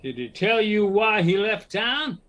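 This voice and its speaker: A man's voice: high voice, very animated, measured pace, fairly bright tone, exaggerated voice. A man playing a nervous, scheming townsman in a western audio drama, urging and wheedling in an American Western drawl. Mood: urgent persuasion. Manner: agitated, emphatic frontier drawl.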